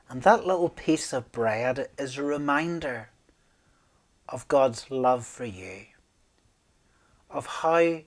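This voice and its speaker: Slow-paced middle-aged man leading communion prayers. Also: mid-range voice, darkish tone. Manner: measured, solemn, with deliberate pauses. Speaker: minister leading worship. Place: small room indoors, at a desk.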